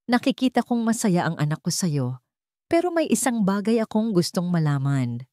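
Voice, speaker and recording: A woman voicing a serious father in a story, with a measured manner. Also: mid-range voice, big pitch swings, dark tone, dry recording, studio quality, natural voice. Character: serious, grateful father of a grown daughter.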